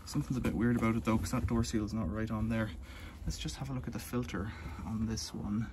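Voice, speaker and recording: A man's voice: lowish voice, steady tone, fast. A man from the UK walking back alleys outdoors, filming on a handheld phone and chatting in a rambling, matter-of-fact way. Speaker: vlogger. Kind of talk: casual walk-and-talk commentary. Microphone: handheld phone camera. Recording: loud outdoor noise behind voice.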